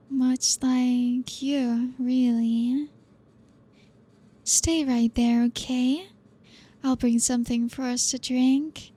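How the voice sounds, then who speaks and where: Woman doing a soft teasing vampire lady, possessive, slow and mellow, kinda flat asmr roleplay voice. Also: fairly high pitch. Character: elegant, teasing, possessive female vampire hostess.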